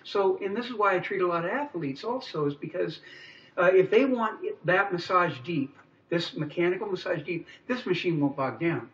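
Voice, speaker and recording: A man's voice: high-pitched, lively intonation, moderate pace, fairly bright tone. A sixty-year-old man with an American accent, chatting enthusiastically as the guest in a casual radio interview. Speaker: interview guest, mobile chiropractor. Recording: distant, muffled radio capture.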